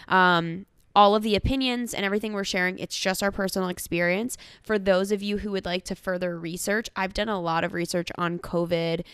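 Chatty, frank woman with a smooth voice. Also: mid-range voice, fairly bright tone, no room sound. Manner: chatty, frank, quick asides and laughs. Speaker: podcast co-host. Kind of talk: casual podcast chat.

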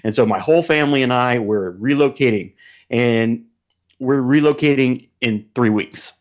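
A man's voice: medium pitch, normal speed. A man chatting casually as a podcast guest over a remote call. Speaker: podcast guest, show presenter. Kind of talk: casual chat. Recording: dull, lo-fi call audio.

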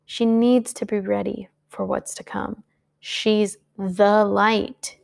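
A woman's voice: mid-range voice, little pitch movement, takes it slowly, gravelly. A woman reading aloud who voices a protective young woman guardian, speaking urgently and then soothingly.

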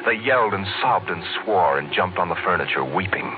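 A man narrating an old radio drama in a grave, deliberate, literary way. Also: sits low, animated, phone-quality sound. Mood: grave, dramatic. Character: grave storyteller narrating a dark tale. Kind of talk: dramatic mid-20th-century radio narration. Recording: thin old radio, loud music underneath.

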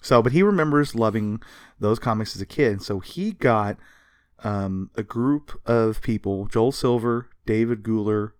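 A man's voice: lowish voice, a little slow, clear, resonant tone, dry recording. A man co-hosting a podcast, telling history in an informal, conversational way with plenty of emphasis. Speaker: podcast co-host. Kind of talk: casual podcast storytelling about pop culture history.

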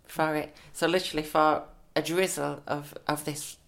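A man's voice: fairly high pitch, level tone, moderate pace, gravelly, fairly bright tone. A young man chats casually as a podcast co-host. He is upbeat and laughs easily, with a slight lisp from new teeth. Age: 20s. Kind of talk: casual chat.

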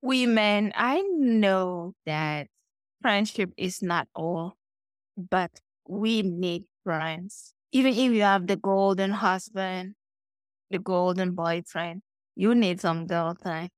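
A middle-aged woman from Cameroon with a Cameroonian accent, talking as an interview guest and telling stories with animated acted-out dialogue. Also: mid-range voice, slow pace, no echo. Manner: animated storytelling, acts out quoted dialogue. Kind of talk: conversational interview.

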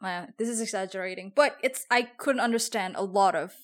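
A woman's voice: medium pitch, fairly quick. Woman, Javanese speaker, chatting casually. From Java, Indonesia. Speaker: podcast host. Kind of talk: casual conversational podcast chat.

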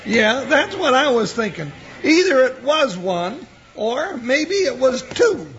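An actor playing a blustery, comical cowboy sidekick in an old radio western, with a squawky folksy Western American drawl. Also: male voice, very high-pitched, very animated, slow, a bit bright, heavily acted. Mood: excitable, puzzled. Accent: American West. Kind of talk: 1950s radio western acting. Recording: muffled old radio recording, faint noise.

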